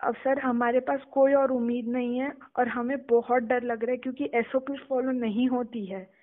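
A young woman with an Indian accent and a slightly high voice speaks as a student caller. She is deferential as she makes her appeal. The sound is narrow and muffled, from a recorded phone call. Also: fairly even intonation, normal speed. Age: young adult. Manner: deferential, often saying "sir". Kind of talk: phone conversation, making an appeal. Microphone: telephone.

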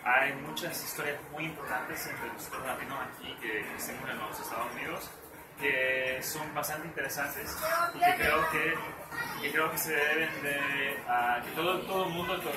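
A man's voice: mid-range voice, lively intonation, normal speed, over loud music. A young man from El Salvador, raised in California, speaking as the guest in a casual interview, hesitant with many fillers. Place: living room at home. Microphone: far camera. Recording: roomy, far from the camera mic.

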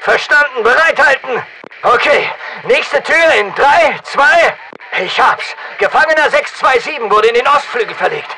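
Battle-hardened special forces operator for a shooter game: high-pitched, fry-edged, clipped radio commands. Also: male voice, expressive, moderate pace, sharp tone, a little hiss or hum, full character voice, low-quality recording. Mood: focused. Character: masked male special forces soldier, terse and battle-hardened. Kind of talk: military radio callouts in a shooter game dub.

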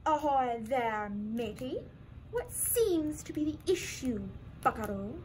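A jaunty pirate mentor acted by a teenage girl for a puppet show, high and very animated in pitch. The advice comes encouragingly, with faint noise behind it. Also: slow pace, poor audio. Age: young teenager. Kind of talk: school puppet show skit.

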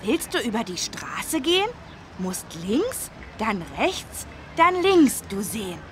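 A woman's voice: a little high, big pitch swings, takes it slowly, a little hiss or hum. A woman voicing an eager, helpful young schoolboy who explains things in a children's learning game. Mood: eager, knowing.

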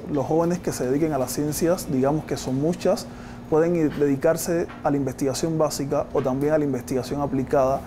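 A man in his thirties with a Cuban accent, a research scientist explaining things in a documentary interview, recorded on a lapel mic. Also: fairly high pitch. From Cuba. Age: 30s. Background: background music.

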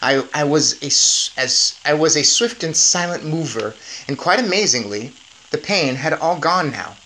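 A man's voice: fairly high pitch, animated, normal speed, sharp tone, slight background noise, poor audio. A man in his thirties reading a children's novel aloud with expression. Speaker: story reader.

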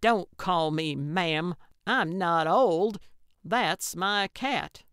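Cranky older lady character, done by a female narrator, blunt n snappy, slow talker, really clean dry sound. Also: lowish voice, expressive, gravelly, a bit bright, clean tone, no echo, full character voice. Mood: gruff, demanding. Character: brusque, cranky older woman, blunt but well-meaning. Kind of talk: audiobook character acting.

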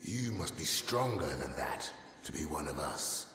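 A man playing a stern, commanding immortal warrior king in a fantasy game, solemn and imperious, with a gravelly voice. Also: very deep voice, very animated, a little slow, fairly bright tone, full character voice. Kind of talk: fantasy video game character acting. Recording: echoey hall with long reverb.

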